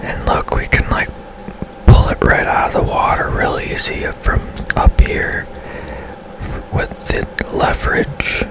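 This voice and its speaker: A man speaking in a breathy, unvoiced whisper, giving casual, unhurried ASMR commentary as he plays a game. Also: low-quality recording. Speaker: gaming ASMR host.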